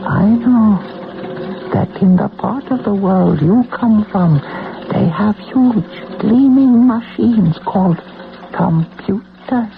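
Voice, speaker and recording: A woman's voice: medium pitch, takes it slowly, creaky. A woman playing a solemn, mystical old being who alters fate, speaking in a portentous, incantatory way in a vintage radio drama. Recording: muffled noisy old radio broadcast.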